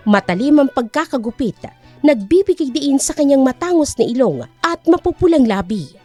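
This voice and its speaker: A woman's voice: high voice, a little mellow. A woman narrating an audiobook with a dramatic, scripted storytelling delivery.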